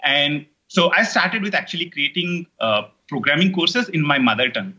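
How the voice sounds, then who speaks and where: A man's voice: on the high side, lively intonation, normal speed, pushed and bright, dull audio. A middle-aged man from India with an Indian English accent, a native Telugu speaker, chatting as an interview guest, rambling with many fillers and restarts. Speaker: guest, online course creator. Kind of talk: casual interview chat.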